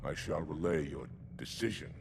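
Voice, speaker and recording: Low, gravelly alien warrior commander. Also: male voice, moderate pace, background noise. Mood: restrained, dutiful. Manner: formal, grave. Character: stern, proud male alien warrior commander. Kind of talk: cinematic video game acting.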